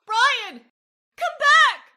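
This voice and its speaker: Actress as haunted young woman, high, bright, fragile, fearful, radio horror. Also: animated, extremely slow, exaggerated voice. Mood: desperate. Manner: dramatic, fearful. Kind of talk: old-time radio horror acting.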